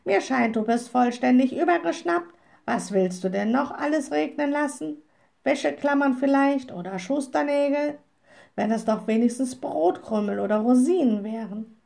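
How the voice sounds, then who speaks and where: Storybook raven, male, played by a woman: screechy croak, raised pitch, blunt scolding know-all. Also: moderate pace, darkish tone. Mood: scornful, screeching. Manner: reproachful, lecturing. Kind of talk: storybook character voice.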